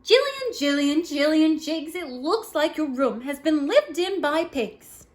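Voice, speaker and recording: Woman acting an exasperated mother, high and emphatic. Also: animated. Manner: dramatic, drawn-out name calling. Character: exasperated mother scolding her messy daughter.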